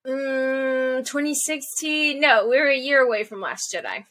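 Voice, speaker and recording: Bright high-voiced woman, a bit stuffy. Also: a little slow. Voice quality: stuffy, nasal from allergies. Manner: animated storyteller. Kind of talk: casual chat.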